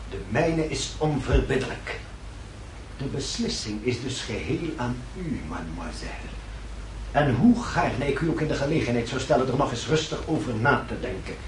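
A man's voice: medium pitch, close to an everyday voice. A man playing a cold, courtly, scheming French count in a radio play, speaking formally and with unyielding suavity. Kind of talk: Dutch radio play acting. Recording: roomy, distant, hissy old radio recording.

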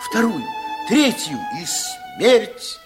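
A man's voice: sits high, extremely slow, over music, heavily acted. A man narrating a Soviet children's radio play in a theatrical, playful, confiding storytelling style. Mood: expressive storytelling. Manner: theatrical, expressive. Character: expressive fairy-tale narrator, playful and confiding.

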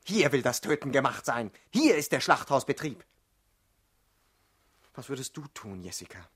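A young revolutionary in a radio drama, mid-pitched and brooding. He speaks with bitter defiance, rising into declamation when provoked. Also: very animated, moderate pace, a bit bright, husky. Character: troubled, defiant young man, party revolutionary with an assassin's mission. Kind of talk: mid-century German radio play acting.

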